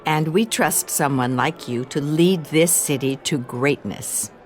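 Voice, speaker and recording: An actress playing a genteel, flattering older society wife, coy and needling, in a dramatic video game. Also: female voice, deep voice, unhurried, faint music behind.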